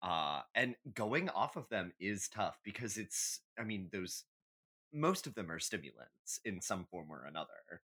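A man's voice: low-pitched, big pitch swings, a little slow, bright, forceful tone, breathy edge. A man co-hosting a podcast in casual banter, dryly humorous and playfully dramatic in how he tells things. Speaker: podcast co-host.

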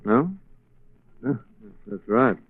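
A man playing an unflappable, dryly witty gentleman detective in 1940s radio drama style. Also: mid-range voice, huge pitch swings, gravelly, exaggerated voice. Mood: wry, casual. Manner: dry, unhurried, understated. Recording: dry, narrow-band 1940s radio recording.

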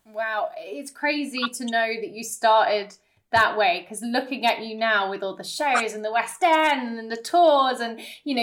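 A woman's voice: on the high side, fairly bright tone. A woman hosting a casual interview in an effusive, enthusiastic way. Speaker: podcast host.

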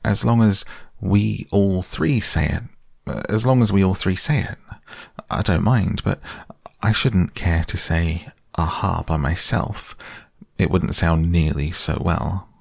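A man puts on a timid little storybook piglet, nervous and hesitant. The voice lifts a little from a low, creaky base and stays dry and close. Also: steady tone, takes it slowly, dry recording, heavily acted. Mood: anxious. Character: small, timid, anxious male toy piglet.

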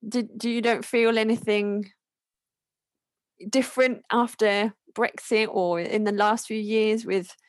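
A woman's voice: medium pitch, steady tone, unhurried, no room sound. A woman co-hosting a casual podcast chat, speaking in a hesitant, praising way with many restarts.

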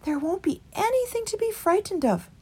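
A woman's voice: extremely high pitch, big pitch swings, normal speed, a little mellow, pitched above the performer's own voice, exaggerated voice. A woman voicing an earnest young male mouse who carries a heavy burden.

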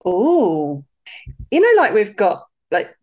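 A woman's voice: medium pitch, wildly swooping pitch, slow pace, darkish tone, muffled recording. A British woman talking casually as a podcast guest, chatty and easygoing. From United Kingdom. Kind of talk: casual interview chat.

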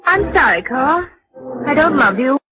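Haughty wife in vintage radio drama. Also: female voice, high-pitched, very animated, measured pace. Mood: breezy, dismissive. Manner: haughty, breezy, condescending. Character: cool, dismissive married woman ending an affair. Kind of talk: 1940s radio acting. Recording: dull vintage radio recording, faint music.

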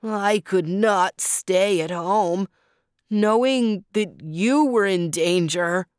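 A woman reading a storybook who voices a slow, gentle old male turtle in a solemn way. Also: mid-range voice, clean tone, no room sound, studio quality, close to an everyday voice. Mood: tender.

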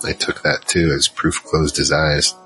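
Guy doing a world-weary gunfighter telling his tale, super low voice with an edge, faint music. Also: level tone, a little slow. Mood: somber. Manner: grim, terse, world-weary. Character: battle-worn male gunfighter recounting his grim story. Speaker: narrator and lead character. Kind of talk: first-person noir-like audio drama narration.

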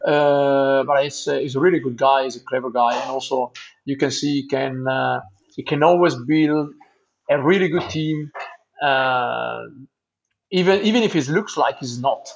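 Italian pro cyclist guest, 30s, accented English with a Flemish edge, halting, on a muffled lo-fi line. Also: male voice, mid-range voice, gravelly. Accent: Italian, with a Flemish tinge. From Italy. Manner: halting, restarts words, searches for English phrases. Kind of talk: casual interview answers.

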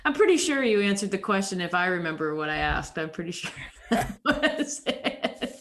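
An easygoing woman is interviewing a guest. She asks thoughtful questions in a conversational, relaxed way. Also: lowish voice, normal speed.

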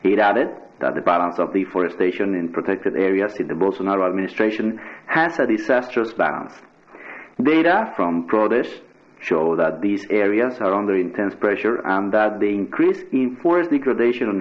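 Male radio newsreader, lowish pitch, scripted news narration, muffled lo-fi broadcast sound. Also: lively intonation, normal speed. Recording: dull, band-limited shortwave-style radio sound.

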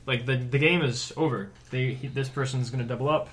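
A man's voice: medium pitch, fairly even intonation, a little fast, fairly bright tone, slight background noise. A man playing a tabletop game, patiently explaining the rules to the table. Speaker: player. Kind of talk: casual chat at a game table.

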